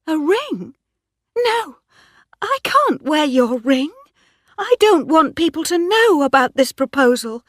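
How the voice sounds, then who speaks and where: A woman voicing a young woman farmer who sounds cornered and scared, her voice lifted well above the reader's own into high, breathless pleas. The lines come slowly, with wide swings in pitch. The recording is close and dry. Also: sing-song, no echo, full character voice. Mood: refusing. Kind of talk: audiobook dialogue reading.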